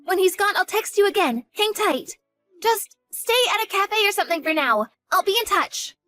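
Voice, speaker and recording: A woman's voice: very high voice, animated, moderate pace, a bit bright, no echo, soft background music. A woman doing a panicky, devoted young daughter. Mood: urgent. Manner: earnest, panicky, pleading. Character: devoted, frightened college-age daughter. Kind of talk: dramatized story acting.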